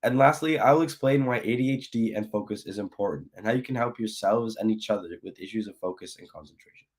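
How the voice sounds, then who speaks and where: Young male speaker, hoarse, low voice, earnest talk. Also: fast. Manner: earnest, apologetic, acts out his own thoughts. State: hoarse, losing his voice. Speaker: guest speaker. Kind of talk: personal talk in a presentation.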